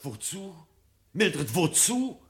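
An actor playing a brooding, restless, insistent husband who secretly devours forbidden books, in a 1970 German radio drama. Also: male voice, high-pitched, sing-song, very slow, fairly bright tone, full character voice. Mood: exasperated, bitter. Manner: insistent, shifting from musing to exasperated.

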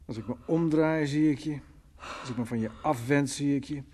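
A man's voice: on the high side, normal speed, a little mellow, husky. An actor playing a bitter, brooding drunk man in a Dutch radio play, sardonic, morose and terse in manner. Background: faint music.

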